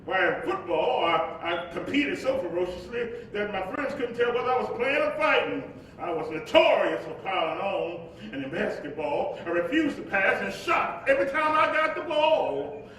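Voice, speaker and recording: Reflective orator-ghost played by a man, theatrical monologue, high male voice in a reverberant, faintly noisy hall. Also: very animated, moderate pace, a bit bright, exaggerated voice. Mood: wry, confiding. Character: reflective spirit of a civil rights preacher narrating his life. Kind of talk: theatrical first-person monologue in a staged reading. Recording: distant echoey hall recording, faint rumbling noise.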